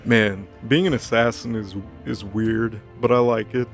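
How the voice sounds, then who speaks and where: Man playing a hesitant, blunt young giantess, gravelly. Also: on the low side, fairly even intonation, measured pace, faint music behind. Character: big-hearted young giantess assassin, plainspoken.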